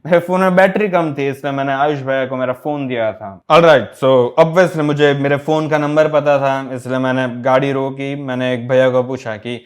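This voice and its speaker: A young man from Gujarat with a Gujarati accent, telling a story casually to camera as a fitness vlogger, mixing in English words and pausing often. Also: medium pitch, steady tone, clear, resonant tone. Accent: Gujarati-accented Hindi. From Gujarat, India. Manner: chatty, mixes English words into Hindi, many pauses.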